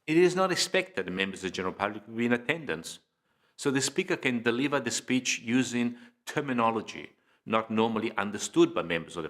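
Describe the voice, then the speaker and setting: A man presenting an instructional lesson in a measured, deliberate way, pausing between phrases and stressing key words. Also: medium pitch, a bit bright. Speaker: presenter of public speaking training video. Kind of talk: informative instructional lecture, scripted delivery.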